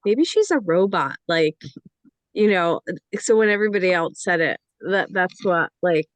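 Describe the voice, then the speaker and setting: A woman's voice: on the low side, lively intonation, normal speed, no echo. A woman giving informal feedback on a script as a panellist, speaking hesitantly and often dropping in "like". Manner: hesitant, peppers speech with "like".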